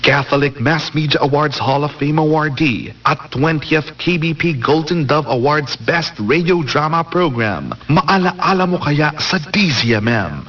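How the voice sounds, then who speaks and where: A male announcer with a Filipino accent and a slightly high voice introduces a drama programme. He sounds a little distant on dull, faintly hissy radio audio. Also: lively intonation, a little slow, few pauses, a bit bright.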